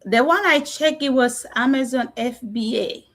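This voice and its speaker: Gravelly-voiced woman from South Sudan. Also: sits high, moderate pace, fairly bright tone. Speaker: guest. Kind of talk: testimonial interview.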